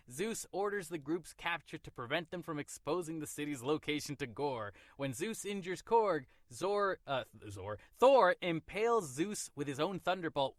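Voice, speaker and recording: A man's voice: fairly high pitch, fairly bright tone, no echo, clean studio sound. A man co-hosting a movie review podcast in casual chat, enthusiastic and playful, sometimes reading a plot summary aloud. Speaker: podcast co-host.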